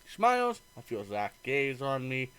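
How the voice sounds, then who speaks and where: A man's voice: mid-range voice, very animated, moderate pace, fairly bright tone, clear, resonant tone. A man reading a visual novel aloud in a let's play, narrating the story and acting out the dialogue expressively.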